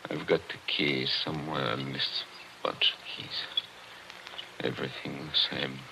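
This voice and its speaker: An actor playing a skeptical, methodical older man haunted by his past, deliberate and hesitant, in 1940s radio drama style. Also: very deep voice, level tone, creaky, full character voice. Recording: faintly noisy old radio recording.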